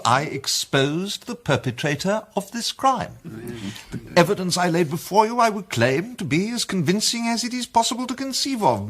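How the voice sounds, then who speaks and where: A man playing a self-assured, theatrical gentleman detective in a radio drama, speaking formally and emphatically. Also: fairly high pitch. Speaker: amateur detective and club president. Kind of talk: British radio drama acting.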